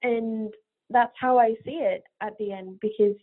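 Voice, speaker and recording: Higher-voiced woman on a tinny line. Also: steady tone, measured pace. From Bangladesh. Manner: earnest, reflective, thinks aloud. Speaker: guest. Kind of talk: casual interview answers. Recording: phone-like narrow line.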